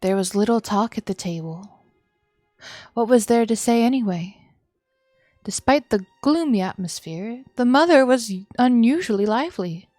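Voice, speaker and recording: A woman streamer reading a visual novel aloud as expressive, dramatic narration. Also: on the high side, normal speed, no room sound, studio quality. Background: music.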